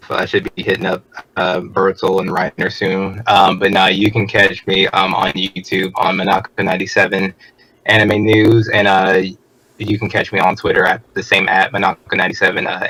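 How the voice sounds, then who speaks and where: A man's voice: low-pitched, fairly bright tone, poor audio. A man co-hosting a casual gaming podcast, talking in a slangy, laid-back way. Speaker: podcast co-host.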